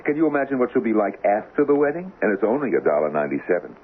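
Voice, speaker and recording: An actor playing the Devil as a glib, cocky hustler who needles and teases, in 1970s radio drama style. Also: male voice, on the low side, very animated, racing, phone-quality sound. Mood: sly, nonchalant. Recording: noisy, thin band-limited old radio broadcast.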